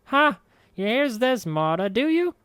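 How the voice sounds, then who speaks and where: A man's voice: extremely high pitch, sing-song, unhurried, a bit bright, clean tone, no echo, voice pushed up, exaggerated voice. A man voicing a sour, lumbering old woman who mutters to herself in a sing-song voice. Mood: angry.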